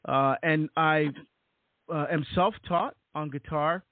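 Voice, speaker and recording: Animated man from Barbados on a phone line. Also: fairly high pitch, takes it slowly, no echo. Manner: animated storyteller, many fillers and repeated words. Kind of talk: casual interview. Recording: tinny phone-like audio, dry.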